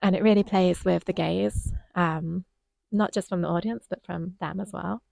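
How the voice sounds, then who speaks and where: Woman, low gravelly voice, kinda croaky, chatting thoughtfully about a show, dry close mic. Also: fairly even intonation, normal speed, dark tone, no echo. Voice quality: slightly croaky. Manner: thoughtful, hesitant with frequent ums. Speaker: dance reviewer co-host. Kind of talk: casual conversational review.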